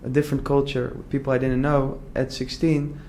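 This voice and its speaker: A young man from the Netherlands talks through his story in a loose, earnest interview. His voice sits at a middling pitch and he circles back often, stacking "like" and false starts as he thinks aloud. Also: flat delivery, fairly quick, darkish tone, slight background noise. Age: young adult. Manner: earnest, rambling, many "like" fillers and restarts. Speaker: entrepreneur guest. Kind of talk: casual conversational interview.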